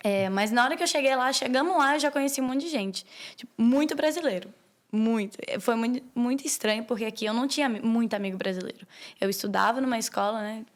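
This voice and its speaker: A woman's voice: on the high side, quick pace. A young woman from Brazil, a podcast guest, chatting casually in a rambling way. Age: young adult. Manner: rambling, many fillers and restarts. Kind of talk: casual chat.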